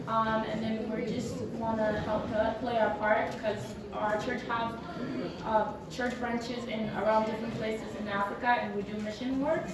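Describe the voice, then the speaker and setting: Hesitant higher-voiced woman introducing herself. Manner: hesitant, many ums. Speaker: church representative. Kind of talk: self-introduction. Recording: echoey room, distant mic, loud background noise.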